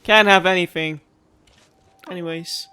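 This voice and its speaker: Male game streamer, fairly high and very bright, chatty, self-deprecating, reads dialogue aloud. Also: steady tone, a little slow, clear, resonant tone, no echo. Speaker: gaming streamer. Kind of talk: casual let's play commentary, reading dialogue aloud.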